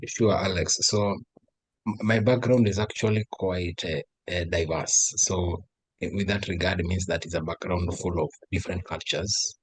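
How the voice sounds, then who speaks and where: A man with a Kenyan accent, an interview guest explaining things in measured, formal phrasing. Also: low-pitched, steady tone, breathy edge, no room sound, poor audio. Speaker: patient advocate guest.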